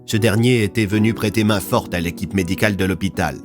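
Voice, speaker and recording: A man's voice: fairly low pitch, brisk, music in the background. A man narrating a scripted audio fiction story.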